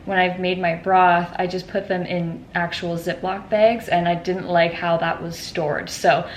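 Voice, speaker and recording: A young woman vlogs from her kitchen in a chatty, upbeat way, full of fillers and little laughs. Her voice sits a bit low for a woman and sounds stuffy and nasal from allergies. A faint hum of the room is heard behind her. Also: level tone, measured pace. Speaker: lifestyle vlogger. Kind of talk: casual chat to camera.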